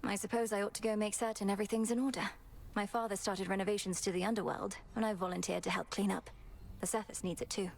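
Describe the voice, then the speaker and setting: A woman voicing an earnest young goddess princess in a game, speaking in a formal, measured way. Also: medium pitch, fairly even intonation, vocal fry, a little mellow, a little hiss or hum, lightly acted. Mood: earnest, reflective. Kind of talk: scripted game voice acting.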